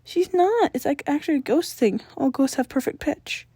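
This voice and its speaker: A woman's voice: sits high, expressive, moderate pace, mellow, no room sound, pitched above the performer's own voice. Awkward teen boy hero, played by a woman: hesitant, defensive, stammering, recorded dry. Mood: flustered, defensive. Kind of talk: acted fan fiction dialogue.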